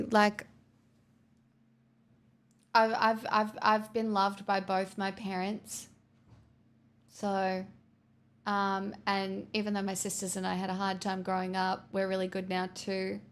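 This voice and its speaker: A woman's voice: mid-range voice, completely flat delivery, unhurried, creaky, pauses often. A woman live streamer chatting casually and candidly with viewers, answering their messages with frequent restarts and the odd swear.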